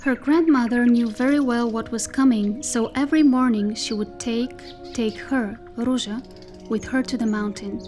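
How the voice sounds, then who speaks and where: A woman narrating a dystopian tale as a solemn storyteller, in measured, scripted literary style. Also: on the high side, steady tone, a little mellow, natural voice. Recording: music behind the voice. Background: music.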